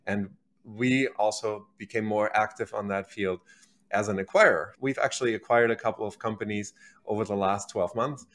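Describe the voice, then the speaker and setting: A man's voice: mid-range voice, fairly bright tone. A man in his thirties from Germany, speaking with a German accent as a podcast guest, casually telling his story. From Berlin, Germany. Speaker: podcast guest, company executive.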